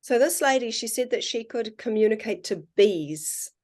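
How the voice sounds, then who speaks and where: A woman with a New Zealand accent, a podcast guest and water researcher, talking in an earnest, musing way and slowing down on her key points. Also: a little high, animated, a little mellow. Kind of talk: casual conversational interview.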